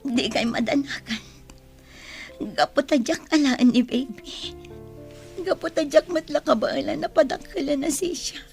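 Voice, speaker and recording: A woman's voice: sits high, measured pace, a little mellow, soft background music. A woman with an Ilocano Filipino accent playing a wronged, sorrowful mother in an emotional radio drama. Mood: tearful, resolved. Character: wronged, sorrowful mother newly freed from prison.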